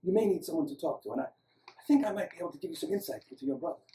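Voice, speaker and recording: A man voicing a gentle, courteous older male doctor with an Eastern European accent in a story, speaking hesitantly. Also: fairly high pitch, lively intonation, rapid-fire, a little hiss or hum, pitched above the performer's own voice. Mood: reassuring. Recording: roomy, echoing, distant microphone.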